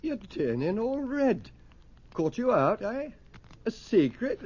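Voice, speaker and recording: An actor playing an excitable, teasing middle-aged English husband in a radio drama. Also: male voice, sits high, slow pace, slightly rough, heavily acted. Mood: teasing, eager. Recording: dull, lo-fi radio drama tape.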